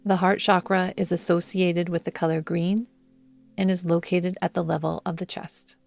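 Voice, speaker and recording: A woman guiding a yoga nidra meditation in a soothing, measured manner, leaving long pauses between phrases. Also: fairly low pitch, level tone, creaky, a little mellow, no echo. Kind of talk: guided meditation narration. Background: background music.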